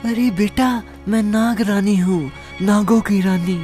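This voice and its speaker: A woman's voice: medium pitch, takes it slowly, warm tone, close to an everyday voice. A woman playing a regal, solemn serpent queen who gives guidance in a fantasy story drama. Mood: solemn, guiding. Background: faint background music.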